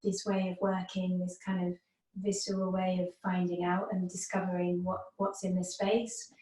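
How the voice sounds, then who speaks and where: A woman's voice: fairly low pitch, monotone, normal speed, warm tone, recorded from a distance. A woman hosting a conversation, asking encouraging, loosely phrased questions. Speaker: host and choreographer. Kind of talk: interviewing a collaborator. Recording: roomy sound from away from the mic.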